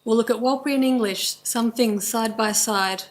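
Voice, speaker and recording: Australian woman explaining stuff slowly in short bits, kinda high voice, court talk. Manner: measured, slow, in short listed phrases. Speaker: language presenter at a court inquest. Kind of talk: formal court presentation.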